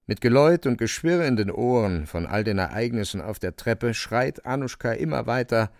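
Male storyteller for radio drama, gravelly low register, dry close studio sound. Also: expressive, normal speed, a little mellow, dry recording. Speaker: narrator. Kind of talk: literary radio play narration.

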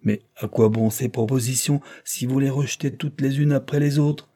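A man voicing an irate, stubborn older judge who shouts and hectors. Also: on the low side, big pitch swings, moderate pace. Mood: indignant.

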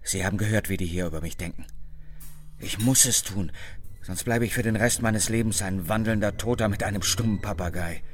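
An actor playing an embittered small-town loner, anxious yet determined, in a German radio crime drama, speaking earnestly and uneasily. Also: male voice, fairly low pitch, fairly quick, close to an everyday voice.

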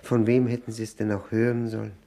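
An actor playing a nervous, self-abasing widower who drinks, stammering and repeating himself in an old radio play. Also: male voice, fairly low pitch, level tone, fast, creaky, dark tone. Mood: nervous, rambling. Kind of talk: 1960 German radio play acting. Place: radio drama studio.

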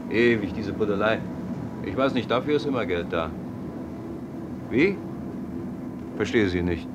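An actor playing a grumbling, easily put-out tram passenger in a German radio play. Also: male voice, lowish voice, animated, unhurried. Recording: loud street and vehicle noise. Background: traffic and vehicle noise.

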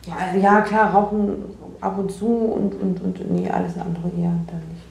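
A woman playing an insecure, defensive out-of-work actress in a naturalistic radio drama, speaking haltingly and trailing off. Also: medium pitch, steady tone, creaky, a little mellow, roomy sound, natural voice. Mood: evasive.

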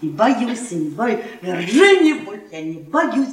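An actress playing a brash, hard-drinking middle-aged wife who needles her husband in halting, repetitive phrases in a stage play. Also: medium pitch, very animated, a little hiss or hum. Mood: teasing, singing. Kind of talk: Russian theatre radio play, naturalistic dialogue. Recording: echoey stage from far, audience behind.

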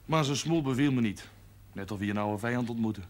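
A man's voice: mid-range voice, quick pace, lightly acted. Actor as blunt, cynical man. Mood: grim. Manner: blunt, terse. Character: blunt, cynical man hiding a sensitive streak. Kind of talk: television drama acting. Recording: noisy 1970s television soundtrack.

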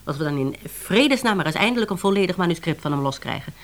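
Low-pitched, businesslike woman boss played by an actress. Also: lively intonation, natural voice. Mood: dry, questioning. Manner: measured, formal, reproving. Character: businesslike, exasperated woman boss at a publishing house. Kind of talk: radio play acting.

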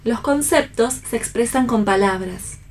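A woman's voice: fairly high pitch, measured pace, lightly acted. A woman acting a romantic, devoted wife in a radio play, with a formal, poetic way of speaking. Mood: poetic calm. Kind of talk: staged radio play reading.

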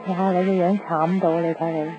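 A woman's voice: fairly low pitch, little pitch movement, moderate pace, close to an everyday voice. An actress playing a breezy, teasing young woman in a Cantonese radio drama. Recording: dull radio broadcast with music.

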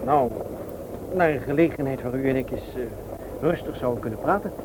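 A man's voice: mid-range voice, very animated, a little fast, creaky. An actor playing a shy, polite widower in his thirties, hesitant and formal, in a Dutch radio play. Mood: polite, awkward. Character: shy, awkward, polite widower on a blind date. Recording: noisy old radio recording.

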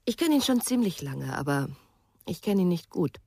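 An actress playing a guarded, world-weary mature woman in a radio drama, understated and husky. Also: lowish voice, sing-song, moderate pace, mellow, no room sound, clean studio sound, exaggerated voice. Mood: guarded, resigned. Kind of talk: German radio drama acting.

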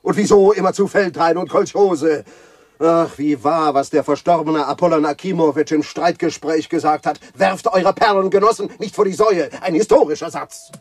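Ein Schauspieler spielt in einem deutschen Hörspiel einen eitlen, reizbaren Schriftsteller, pompös und theatralisch, mit belegter Stimme. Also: male voice, a little high, normal speed, no room sound, close to an everyday voice. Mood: indignant. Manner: pompous, theatrical, swinging from muttering to declaiming. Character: vain, irritable, self-important male novelist. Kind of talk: German radio play acting.

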